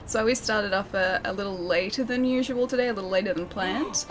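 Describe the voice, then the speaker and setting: A young woman streaming a game and chatting with her viewers, her voice a little croaky and scratchy from a sore throat, laughing often and calling people dude and man. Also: mid-range voice, fast. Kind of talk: casual chat with live stream viewers.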